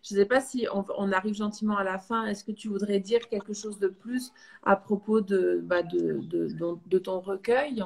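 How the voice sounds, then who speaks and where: A woman's voice: mid-range voice, normal speed, creaky, few pauses. A woman host talking over a video call. She speaks in a mid-range voice and heaps enthusiastic, encouraging praise on her guest. Her speech is loose and conversational, with some restarts. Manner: effusive, encouraging, lots of praise. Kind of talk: live video call interview.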